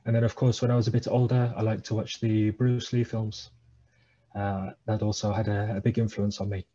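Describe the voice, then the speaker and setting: A man's voice: on the low side, level tone, normal speed, warm tone, dull audio. A man, a guest talking hesitantly over a headset on a video call from a small room. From England. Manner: hesitant, many uh fillers, thoughtful. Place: small room at home, indoors.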